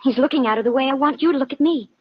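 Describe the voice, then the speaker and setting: A woman's voice: high voice, rapid-fire, close to an everyday voice. An actress playing a naive, melodramatic, lovesick young woman, earnest and scatterbrained, in 1950s radio comedy-drama style. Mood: flustered. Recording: muffled 1950 radio broadcast recording.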